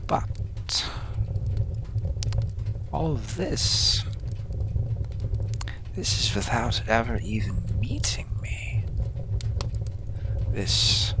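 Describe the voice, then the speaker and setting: A man playing a suave, menacing and admiring fantasy villain in an audio roleplay, speaking in a breathy, theatrical way with lingering pauses. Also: mid-range voice, background noise. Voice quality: breathy, half-whispered. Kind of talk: audio roleplay addressed to the listener.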